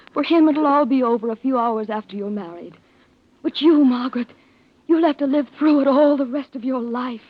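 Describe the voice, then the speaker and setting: An actress playing an intense, scheming heiress in old-time radio melodrama, speaking in a theatrical, emphatic way. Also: female voice, a little high, slightly rough. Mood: indignant, urgent. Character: scheming heiress, outwardly pleading and sympathetic, intense. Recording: muffled vintage radio recording.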